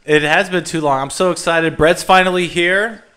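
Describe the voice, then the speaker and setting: A man's voice: fairly high pitch, moderate pace, pushed and bright. A man hosting a podcast, upbeat and playful, reading out a guest introduction. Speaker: podcast host.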